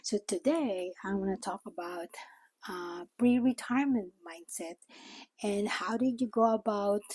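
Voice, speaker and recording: An older woman from the Philippines with a Filipino accent, a native Tagalog speaker, talking informally and a little haltingly as she explains things in a vlog. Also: mid-range voice, darkish tone, husky, no echo. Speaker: vlogger. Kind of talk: informal talk to camera.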